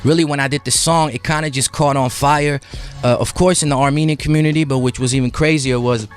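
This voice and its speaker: A man's voice: medium pitch, fairly even intonation, fast talker, clean tone, soft background music. A man speaking as an interview guest in a casual, conversational storytelling way, with informal slangy phrasing. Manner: informal hip-hop slang, frequent "you know what I mean".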